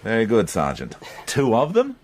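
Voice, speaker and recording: Sardonic British police superior, brisk, harried, lively pitch movement, radio drama, slight background noise. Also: male voice. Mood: weary, dry. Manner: dry, sardonic, brisk authority. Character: dry, harried senior British police officer, male.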